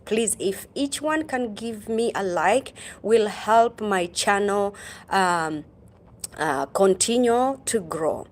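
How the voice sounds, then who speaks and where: A middle-aged woman from Kenya talks to her livestream viewers in an unhurried, halting way. She stops often to fill gaps with ums and uhs, her voice sits at a medium pitch, and she stops to greet viewers by name. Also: expressive. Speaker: livestream host. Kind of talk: casual livestream storytelling.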